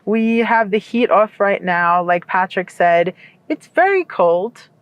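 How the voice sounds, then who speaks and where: A woman's voice: medium pitch, expressive, slow, no room sound, highs cut off. A woman vlogging from the passenger seat of a car at night, talking casually and animatedly with her partner. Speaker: vlogger, co-host.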